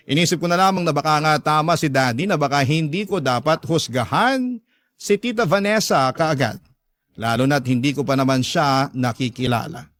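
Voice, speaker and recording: A man's voice: a little high, dry recording. A man with a Filipino accent narrating a listener's letter in the first person, as a dramatic radio storyteller.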